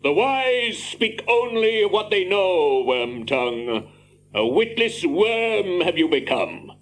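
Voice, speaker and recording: A commanding old wizard in an audio drama. His fairly high voice declaims slowly and gravely, with stern dignity. It rings through a reverberant hall. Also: big pitch swings, full character voice. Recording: echoing hall with faint hiss and hum.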